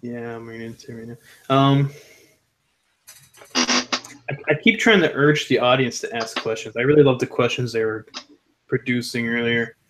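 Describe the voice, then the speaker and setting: Easygoing man chatting with many pauses. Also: mid-range voice, steady tone, a bit bright, slight background noise, low-quality recording. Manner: hesitant, many fillers and restarts, easygoing. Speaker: panel co-host. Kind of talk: casual chat.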